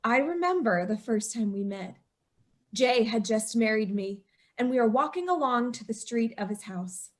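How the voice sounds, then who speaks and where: An actress playing a young bride driven to murder, speaking with breathless anguish in an old-time radio drama. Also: female voice, fairly high pitch, expressive, normal speed, lightly acted. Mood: bitter.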